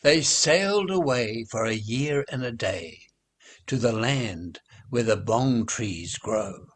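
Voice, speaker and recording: A man reciting children's nursery rhymes in an expressive, theatrical, playful way. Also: medium pitch. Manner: slow, theatrical, playful delivery.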